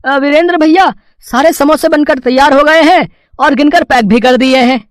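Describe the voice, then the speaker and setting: A man's voice: very high voice, normal speed, no echo, studio quality. An actor playing a helpful, deferential male kitchen helper in a cartoon story. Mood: eager, helpful.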